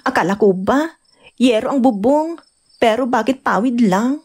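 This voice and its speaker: A woman playing a young, caring but complaining provincial wife in an expressive radio drama. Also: a little high, unhurried, breathy edge. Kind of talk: Ilocano radio drama acting.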